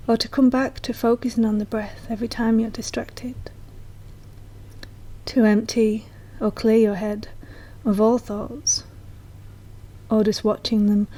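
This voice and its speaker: A woman narrating a guided meditation in a soft, slow, soothing manner, as a guide speaking directly to the listener. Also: mid-range voice, poor audio.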